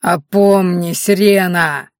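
A woman's voice: on the low side, steady tone, dry recording, clean studio sound. A woman voicing an anguished male werewolf in a dramatic audiobook, desperate and half-mad with guilt, with a strained, breaking voice. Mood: frantic, shouting. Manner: desperate, halting, repeating himself.

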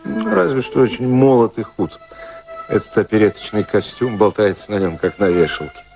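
Flirtatious society playboy, low voice, very animated, ironic and teasing, radio drama, music behind. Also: male voice, moderate pace, gravelly. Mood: teasing banter. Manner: teasing, ironic, theatrical delivery. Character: flirtatious, flippant society playboy, relentlessly wooing. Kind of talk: radio play acting.

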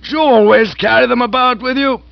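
A man putting on a booming bossy older aunt. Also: steady tone, fairly quick, creaky, no room sound. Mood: gleeful. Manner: loud, emphatic, bossy. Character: booming hearty older aunt, loud and blunt. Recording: dull, dry recording.

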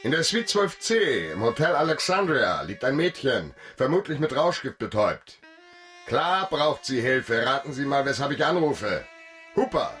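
An actor playing a hard-boiled, commanding private detective in a German radio crime drama, speaking in clipped, wry phrases. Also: male voice, medium pitch, sing-song, normal speed, fairly bright tone, faint music behind. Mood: brisk. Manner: clipped short commands and questions.

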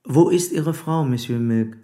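A man's voice: medium pitch, warm tone, lightly acted. Guy playing a police commissioner in a radio play, mid-range voice, very formal, keeps pressing with questions. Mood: formal, probing. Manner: formal, deliberate, insistent. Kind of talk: German radio play acting.

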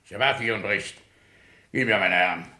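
An actor playing a stern, pompous school inspector in a radio play, clipped and commanding. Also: male voice, on the low side, fast talker, very noisy, natural voice. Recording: roomy echo.

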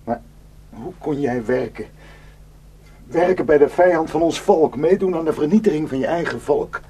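A man's voice: on the high side, animated, moderate pace, warm tone, slightly rough. An actor playing a wary, reproachful village man in a Dutch radio drama, sceptical and probing. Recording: noisy radio play recording with hum.

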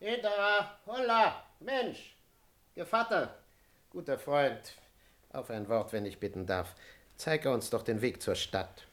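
A chattering, pious manservant in a German radio play, his voice on the high side. He argues indignantly and rambles rhetorically, with a theatrical flourish. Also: expressive, slow, fairly bright tone. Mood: calling, chatty. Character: talkative, pious, argumentative manservant in doctor's robe.

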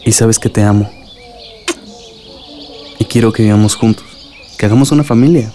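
Actor como joven enamorado, voz suave. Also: male voice, on the low side, normal speed, warm tone, slight background noise, close to an everyday voice. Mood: tender, concerned. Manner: tender, attentive. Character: devoted, reassuring young village suitor. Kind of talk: radio drama acting.

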